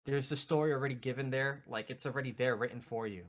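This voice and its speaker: A man co-hosting a casual chat, excitable and given to repeating his phrases for emphasis. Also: medium pitch, quick pace. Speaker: podcast co-host. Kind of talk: casual chat about video game movies.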